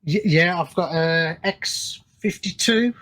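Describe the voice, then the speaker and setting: A man hosting a casual interview podcast, talking haltingly and repeating his words as he goes. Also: on the high side, a bit bright. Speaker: podcast host.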